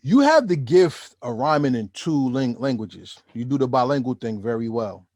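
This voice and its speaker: Guy hosting a hip hop interview, mid-pitched, excited, rambles, dry close mic. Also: dry recording. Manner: enthusiastic, rambling, bursts into fast speech. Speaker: interview host. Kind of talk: casual interview.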